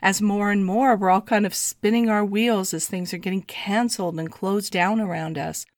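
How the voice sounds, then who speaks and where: A woman with a slightly croaky voice, since she is fighting a cold, talks through ideas in a chatty, explanatory way. Her recording is close and completely dry. Her pitch sits in an ordinary middle range. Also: steady tone, no room sound. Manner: chatty, explanatory, quick bursts. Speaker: astrologer, podcast co-host. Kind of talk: casual podcast chat.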